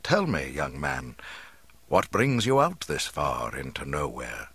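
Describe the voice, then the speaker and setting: A man voicing a kindly, wise old hermit in an audiobook, speaking gently, slowly and deliberately. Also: extremely low pitch, huge pitch swings, breathy edge, no echo, pitched below the performer's own voice, full character voice. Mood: calm, gentle.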